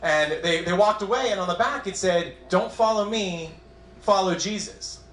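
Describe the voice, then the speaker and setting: A man's voice: sits high, normal speed, a bit bright, a little hiss or hum. A man in his twenties from New England with a New England accent, doing a wry, self-deprecating stand-up set on a comedy club stage. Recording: echoey club room.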